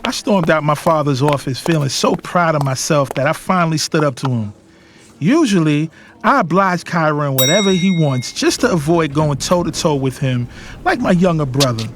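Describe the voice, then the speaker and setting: A man's voice: on the high side, lively intonation, moderate pace, background noise, close to an everyday voice. A man acting as a defiant, protective young adult son of a boss in an audio drama. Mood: reflective, proud. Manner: assertive, emphatic. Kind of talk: dramatized first-person audio drama narration and dialogue.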